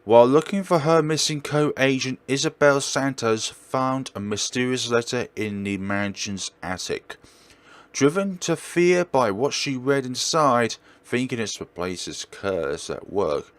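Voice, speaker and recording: A man's voice: medium pitch, a little slow. A man narrating a horror game as a let's player, reading the script aloud theatrically and adding his own commentary.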